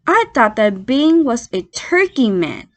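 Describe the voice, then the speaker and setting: A woman voicing an eager, curious young fox boy in a children's story, excitable and questioning. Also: a little high, slow. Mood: puzzled.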